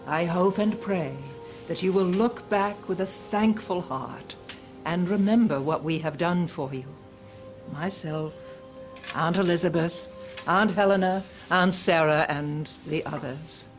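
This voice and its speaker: Woman cast as stern pious matron sending off her trainees, slow and solemn, audio drama. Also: lowish voice, faint music behind, lightly acted. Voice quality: solemn preaching delivery. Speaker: reeducation center aunt addressing handmaids.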